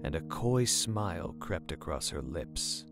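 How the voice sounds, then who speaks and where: Deep voiced guy doing dramatic horror story narration, faint music behind him. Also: very animated, unhurried, gravelly. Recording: music bed under the narration.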